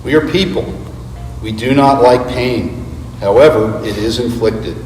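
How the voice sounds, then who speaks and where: A man giving an earnest, deliberate dramatic reading of a script as a church readers theater performer. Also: mid-range voice, darkish tone, soft background music. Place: large reverberant church space. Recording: echoey hall, distant mic, background noise.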